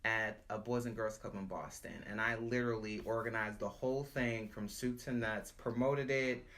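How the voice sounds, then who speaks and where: A woman's voice: a bass voice, normal speed, fairly bright tone. A woman who founded an arts festival, telling her story in an interview in an emphatic, storytelling way. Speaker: arts organization founder and director. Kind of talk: interview testimonial. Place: Boston area.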